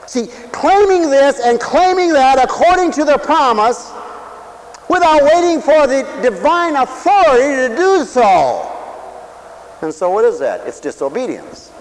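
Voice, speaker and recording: High-pitched, animated preacher man. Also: measured pace, rough recording. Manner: dramatic swings from shouting to near whisper, acts out voices. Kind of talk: radio sermon.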